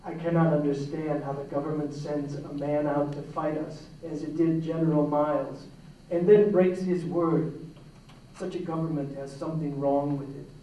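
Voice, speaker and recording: A man's voice: fairly high pitch, steady tone, normal speed, mellow, a little hiss or hum. A man giving a staged dramatic reading of historical speeches in a large hall. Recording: very echoey hall, distant mic.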